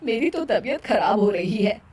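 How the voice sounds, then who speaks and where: A woman's voice: medium pitch, expressive, moderate pace, darkish tone, close to an everyday voice. A woman voicing a weary older mother-in-law in a cartoon moral story, complaining in a sardonic, plain-spoken way. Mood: scolding.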